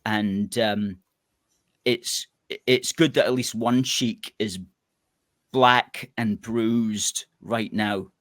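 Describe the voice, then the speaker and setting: A man's voice: fairly low pitch, fairly even intonation, drawn-out words, a bit bright, dry recording, clean studio sound. A man interviewing a guest, giving strong opinions through long, rambling analogies. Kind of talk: political interview.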